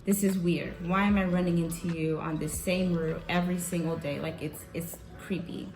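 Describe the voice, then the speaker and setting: A woman's voice: fairly low pitch, fairly even intonation, moderate pace, echoey room, over music. A young woman from the South Bronx, telling a personal story in a casual, candid vlog and swearing now and then. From South Bronx, New York. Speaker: vlogger.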